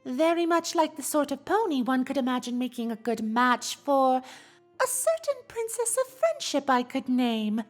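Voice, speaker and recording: A refined female cartoon pony, full of teasing gossip and genteel theatrics. The voice sits high and swings widely in pitch, drawing out phrases, with music behind it. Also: big pitch swings, normal speed. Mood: sly, teasing. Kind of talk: fan fiction audio drama acting.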